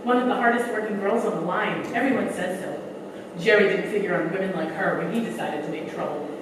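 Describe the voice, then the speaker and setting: A woman's voice: medium pitch, fairly quick, close to an everyday voice. A woman playing a chatty, friendly female factory worker in a staged radio play. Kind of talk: staged 1940s-style radio play acting. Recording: echoey hall from far off, noisy.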